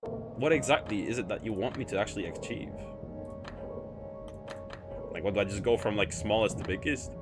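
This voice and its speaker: A man from Poland with a mixed British and American accent, chatting and thinking out loud while streaming a game. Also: fairly low pitch, expressive, normal speed, pauses often. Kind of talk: casual gaming livestream chat. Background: music.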